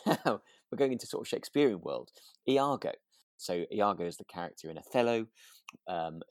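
Guy in casual podcast chat, deep pitch, dry sound with no echo. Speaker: podcast co-host. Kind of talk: relaxed casual chat about a radio drama.

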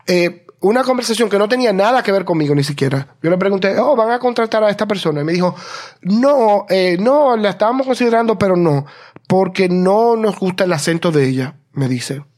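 A man co-hosting a casual talk show, telling stories quickly and with energy. His first language is Spanish, and he speaks English with a Spanish accent. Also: high voice. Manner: fast, animated storytelling. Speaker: podcast co-host. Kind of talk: casual conversation.

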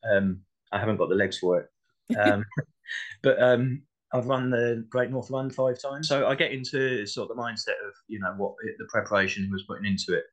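A man with a southern English accent and a slightly low voice speaks as a guest in a casual discussion. He is hesitant, often repeating a word as he starts a thought. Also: fairly even intonation, normal speed, poor audio. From Essex, England. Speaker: podcast guest.

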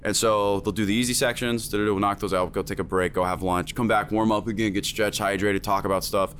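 A man's voice: lowish voice, fairly even intonation, fast talker, fairly bright tone, slight background noise. A man working as a music producer and teacher, talking emphatically in a casual chat, with lots of fillers.

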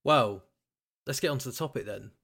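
A man's voice: medium pitch, fairly quick, gravelly, a bit bright, breathy edge. A British man hosting a podcast in casual chat about games and films. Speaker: podcast host.

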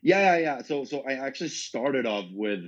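A man's voice: mid-range voice, lively intonation. Young man, stammering and laughing. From Norway. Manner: stammering restarts, quick laughs. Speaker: interview guest, racer. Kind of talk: casual interview chat. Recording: dull lo-fi sound.

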